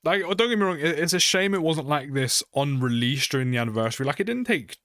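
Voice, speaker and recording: A man's voice: fairly high pitch, expressive, quick pace, fairly bright tone, no room sound, studio quality. A man giving casual game update commentary in a chatty way, often repeating phrases for emphasis. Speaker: gaming video creator. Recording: music behind the voice. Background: music.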